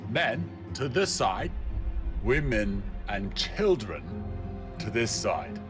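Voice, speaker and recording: An actor playing an unperturbed soldier in an audio drama, giving orders in a rote, commanding way. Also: male voice, fairly low pitch, big pitch swings, slow, over music. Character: unperturbed soldier guard reciting routine orders.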